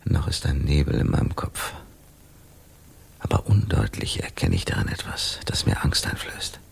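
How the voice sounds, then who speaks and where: An eccentric Parisian composer without a memory, in radio-play style. The voice is gravelly and rough, with hugely mobile intonation. He sounds haunted and theatrical, moving between hushed brooding and outbursts. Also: rumbling low, big pitch swings, normal speed, exaggerated voice. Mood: haunted, musing. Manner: theatrical, swinging from hushed musing to sudden outbursts. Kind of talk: German radio play acting.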